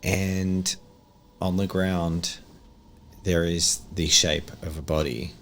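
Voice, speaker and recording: Low-voiced male game master, noisy background. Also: little pitch movement, takes it slowly, fairly bright tone. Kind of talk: improvised tabletop game narration.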